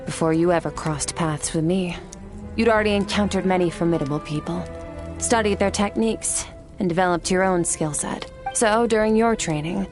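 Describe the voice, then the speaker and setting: An actress voicing an aloof young woman swordmaster from another world, speaking in a calm, cool, matter-of-fact way. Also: lowish voice, normal speed, music in the background, close to an everyday voice. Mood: cool, measured. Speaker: game character. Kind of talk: game voice acting.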